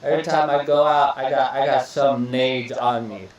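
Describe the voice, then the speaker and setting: A young man from Iraq hosting a podcast interview, relaxed and chatty, often repeating words and calling his guest "bro.". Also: medium pitch, moderate pace, distant mic. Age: young adult. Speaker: podcast host. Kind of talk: casual interview chat. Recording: echoey room, voice a bit off-mic.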